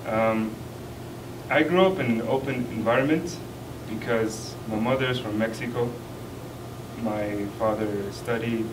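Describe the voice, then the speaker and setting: A man from Kuwait, an international student speaking on a discussion panel in an earnest, measured way. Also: medium pitch. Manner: earnest, measured, pausing often. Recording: roomy, distant mic, noisy hall.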